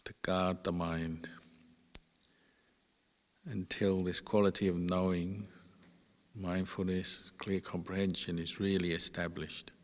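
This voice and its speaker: Male meditation teacher, low and very even, measured phrasing, heard in a long hall reverb. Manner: measured, pausing between short phrases. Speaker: Buddhist monk leading guided meditation. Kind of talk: guided meditation instruction.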